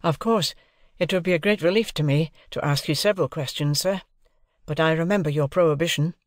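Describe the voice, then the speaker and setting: A man voicing a polite, hesitant young gentleman in an audiobook, careful and deferential. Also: on the high side, fairly quick, dry recording, clean studio sound, pitched above the performer's own voice, lightly acted. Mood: polite, tentative. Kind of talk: audiobook character dialogue.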